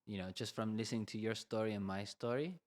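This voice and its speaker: A man's voice: on the low side, fairly even intonation, quick pace, a little mellow. A young Argentine man co-hosting a podcast, telling his story in a loose, rambling way full of "you know" fillers. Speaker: podcast co-host. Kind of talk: casual conversational storytelling.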